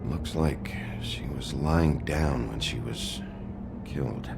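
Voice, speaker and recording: An actor playing a gruff, weary sheriff investigating a crime scene, speaking tersely and matter-of-factly. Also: male voice, takes it slowly, noisy background, full character voice. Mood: grim, businesslike. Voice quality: gravelly, low. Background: game score music.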